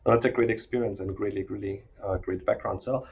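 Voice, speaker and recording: A man's voice: on the low side, fairly even intonation, fast, creaky, dull audio. A man hosting an interview podcast as a professor talking with a guest. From Austria.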